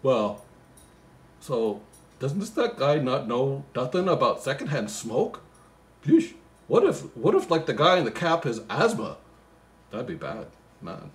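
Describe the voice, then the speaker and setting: A man's voice: medium pitch, big pitch swings, a little slow, long pauses. A man playing a goofy, baffled storybook reader who mixes poem recital with slangy, mock-indignant muttered asides. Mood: bewildered. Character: Floyd, goofy, baffled, easily sidetracked male storybook reader. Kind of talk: comic poem reading with rambling asides.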